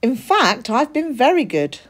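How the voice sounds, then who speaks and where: An eager little boy cat from a children's storybook as a woman reader plays him. He is boastful yet earnest, speaking high and emphatically with lively swings in pitch. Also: a little slow, full character voice. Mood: earnest, insistent.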